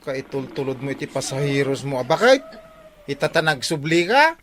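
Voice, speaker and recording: An actor with an Ilocano accent playing an excitable, griping villager in a comedic radio drama, exclaiming often. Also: medium pitch, normal speed, slight background noise. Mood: scolding.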